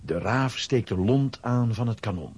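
A man's voice: medium pitch, unhurried, darkish tone, slightly rough. A man narrating a children's audio drama in a scripted storytelling style.

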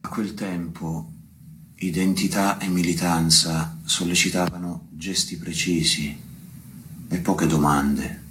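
A man reciting poetry in a grave, measured manner with deliberate pauses. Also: low-pitched, level tone, a bit bright, slight background noise. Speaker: poetry reader at a tribute recital.

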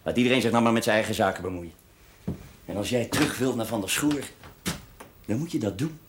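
A man's voice: on the low side, brisk, close to an everyday voice. An actor playing a reserved, plain-spoken works foreman in a television drama, terse and matter-of-fact. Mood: curt, firm. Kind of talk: naturalistic television drama dialogue. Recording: noisy old television soundtrack.

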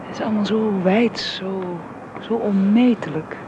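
A young woman playing a gentle, deferential young woman who is fond of a child, in a naturalistic radio drama. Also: medium pitch, a little slow, natural voice. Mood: dreamy, happy. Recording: muffled, noisy old radio recording.

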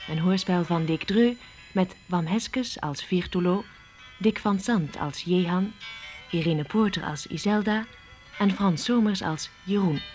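A woman's voice: on the low side, a little slow, a little mellow, soft background music. A woman reading out scripted credits as the announcer of a radio play.

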